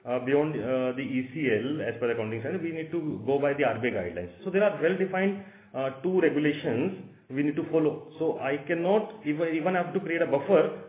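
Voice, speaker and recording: Man, Indian accent, company executive, halting unscripted answers, echoey room, narrow telephone sound. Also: a little high, lively intonation. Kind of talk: spontaneous earnings call answers. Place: conference call. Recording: roomy echo, narrow phone-like line.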